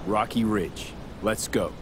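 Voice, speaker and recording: A man's voice: fairly low pitch, takes it slowly. An actor playing a cool, pragmatic male street mercenary in a video game, talking in clipped, matter-of-fact phrases. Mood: businesslike. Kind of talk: naturalistic video game acting. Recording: vehicle and ambience noise behind.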